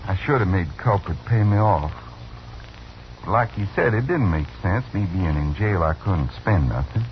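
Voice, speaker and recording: An actor playing a simple, woebegone buffalo skinner in an old-time radio western, with a plaintive, folksy, earnest manner. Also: male voice, deep voice, animated, moderate pace, noisy background. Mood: earnest, confused. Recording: dull old radio recording, faint engine drone.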